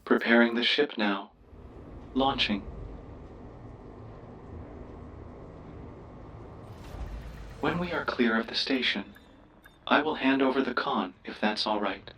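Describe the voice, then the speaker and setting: A man voicing a polite, cautious starship AI in a sci-fi game, speaking in a formal, deferential, measured way. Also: mid-range voice, pushed and bright, husky, recorded from a distance. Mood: courteous. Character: polite, cautious male ship computer AI, formal and curious. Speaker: ship's computer voice assistant. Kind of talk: scripted sci-fi game voice pack.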